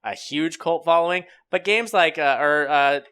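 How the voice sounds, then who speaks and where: A man's voice: fairly high pitch, normal speed, sharp tone, smooth. A man co-hosting a podcast in casual banter, opinionated and joking, with some casual swearing. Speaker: podcast host.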